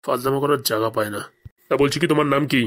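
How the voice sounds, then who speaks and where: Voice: mid-range voice, rapid-fire, a bit bright, lightly acted. A performer voicing a stern, sarcastic male headmaster in a comedy cartoon. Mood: exasperated, sarcastic. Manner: sarcastic, scolding interrogator.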